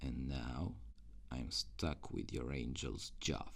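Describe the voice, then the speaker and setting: Man voicing a very deep, gravelly, sardonic demon. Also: exaggerated voice. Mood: bitter, weary. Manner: slow, dry, intimate, sardonic. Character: reluctant male demon guardian, jaded, sardonic, gleefully cruel. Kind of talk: ASMR roleplay.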